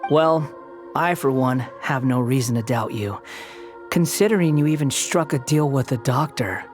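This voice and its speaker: A man playing a cunning former villain, a puppet who speaks in a cool, detached drawl. He sounds cynical and sardonic, never warm. Faint game music hums underneath. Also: mid-range voice, normal speed, close to an everyday voice.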